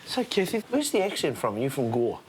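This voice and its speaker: A man's voice: medium pitch, wildly swooping pitch, brisk, a little hiss or hum, poor audio. A man with a New Zealand accent hosting a travel show, talking in a jokey, friendly way with the people he meets. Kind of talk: casual chat and interviewing.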